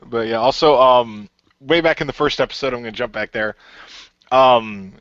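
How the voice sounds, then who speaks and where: A man's voice: medium pitch, moderate pace, fairly bright tone, no echo. A man who created and ran a radio play, chatting casually and rambling with many fillers and restarts. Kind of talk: casual podcast chat. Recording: dull lo-fi dry sound.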